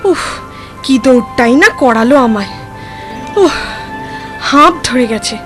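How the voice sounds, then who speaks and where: A woman's voice: a little high, lively intonation, measured pace. A woman playing an eager, obliging young village daughter-in-law in a cartoon. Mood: flustered. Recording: music behind the voice.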